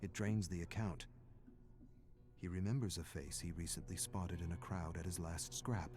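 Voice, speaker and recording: A man reading a dramatic scripted story in a measured, brooding way, in short clipped phrases. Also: low-pitched, steady tone, music in the background. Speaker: narrator.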